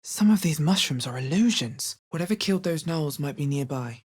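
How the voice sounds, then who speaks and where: A woman playing a wary, dry fantasy game adventurer with a deep, husky voice and a measured, understated delivery. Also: lively intonation, darkish tone. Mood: wary, observant. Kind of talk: video game character voice lines, short exploration remarks and spell incantations.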